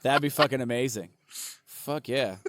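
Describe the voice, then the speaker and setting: Man, podcast co-host, excitable, swears a lot, stammering restarts, middle pitch, dry sound. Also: moderate pace, no room sound. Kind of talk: casual podcast banter.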